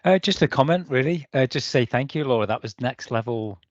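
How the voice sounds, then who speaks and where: A man's voice: mid-range voice, expressive, fairly quick, dry recording. A man commenting casually and enthusiastically as a participant in an online meetup, over a video call. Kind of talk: casual appreciative chat. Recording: dull, dry online call.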